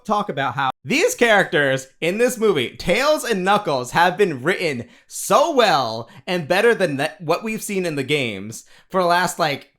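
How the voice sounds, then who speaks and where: A man giving a casual, rambling movie review in an animated, casually sweary way, as an online reviewer. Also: fairly high pitch. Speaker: YouTube game and film reviewer.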